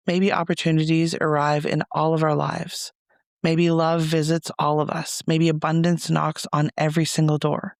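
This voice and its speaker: A man leading a guided meditation as a reflective monologue, speaking in a soothing, measured, gentle way with pauses. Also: fairly high pitch, creaky, dry recording, clean studio sound.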